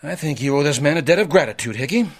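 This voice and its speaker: An actor in a radio drama playing a brash, self-satisfied investigator with an emphatic way of talking. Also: male voice, fairly high pitch, expressive, fast, breathy edge. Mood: approving.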